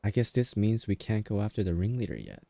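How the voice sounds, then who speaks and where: Low, mellow-voiced casual male streamer. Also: sing-song, fast talker, creaky. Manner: slangy, offhand. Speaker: game livestreamer. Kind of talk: casual chat and reactions over gameplay.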